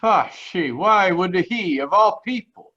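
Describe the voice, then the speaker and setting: An actor playing an earnest, pious immigrant man with halting, solemn delivery in a radio drama. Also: high voice, animated, background noise. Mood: astonished. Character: earnest Italian immigrant man, pious, grieving, slow-spoken. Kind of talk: 1940s radio drama acting. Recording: slightly dull video-call audio.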